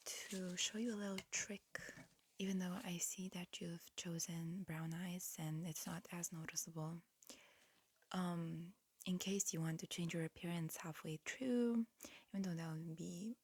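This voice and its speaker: A woman performs a whispered ASMR medical exam roleplay in a soft, fairly low voice. She speaks very slowly and carefully, like a calm examiner explaining each step. The microphone is close, with a faint hiss behind her. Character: calm, attentive alien examiner disguised as a human.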